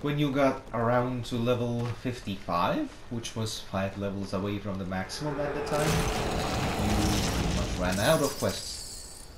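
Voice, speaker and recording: A man's voice: medium pitch, expressive, a little slow. A man chatting casually over a game, making wry, crude quips. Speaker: let's play co-host. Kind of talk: casual gameplay chat. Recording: static-y echoey mic.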